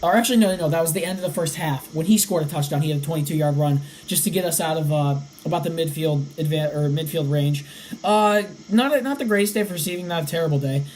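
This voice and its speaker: A young man around eighteen hosting a casual sports podcast, his voice slightly raspy, rattling off game stats. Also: a little high, quick pace, a little mellow, slight background noise, rough recording. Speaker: podcast host.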